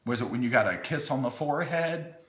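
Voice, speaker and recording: A man's voice: mid-range voice, lively intonation, a little fast, a bit bright. A man hosting a playful storytime, reading a children's book with wry, chatty asides in a theatrical way, as if talking to small children. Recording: echoey room.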